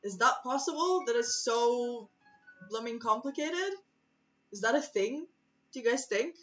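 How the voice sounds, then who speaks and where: A woman's voice: a little high, lively intonation, measured pace, a bit bright. A woman whose first language is Russian, chatting casually and thinking aloud while solving chess puzzles, often interrupting herself and laughing. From former USSR. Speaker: chess streamer. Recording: slightly distant, muffled mic with faint music. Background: faint music.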